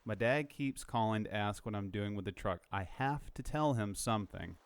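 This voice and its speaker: A man's voice: fairly low pitch, moderate pace, natural voice. A man playing a bewildered, casual young helper in an audio drama, skeptical in manner. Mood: doubtful.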